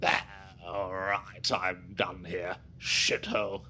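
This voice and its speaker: Very deep, grumpy, sneering man. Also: level tone, takes it slowly, bright, forceful tone, a little hiss or hum, exaggerated voice. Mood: grumbling. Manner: curt, scornful, grumbling. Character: grumpy, sneering, hard-to-please male flat hunter. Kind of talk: audio drama acting.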